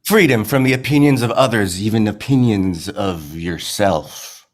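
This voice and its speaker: A man's voice: on the low side, unhurried. A man playing an unhinged, manipulative film director in a screenplay table read, with a stammering, theatrical, erratic delivery. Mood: menacing, coaxing.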